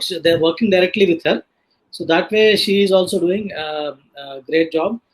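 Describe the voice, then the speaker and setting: A man hosting a live online panel, casually introducing guests in a halting way with many filler sounds. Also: high voice, poor audio. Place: online live video session.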